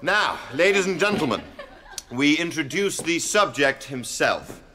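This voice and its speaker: An actor playing a pompous, self-satisfied government official giving a theatrical speech. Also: male voice, fairly high pitch, very animated, measured pace, bright, forceful tone, heavily acted. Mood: grandiose, scornful. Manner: oratorical, pompous. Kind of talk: theatrical public address.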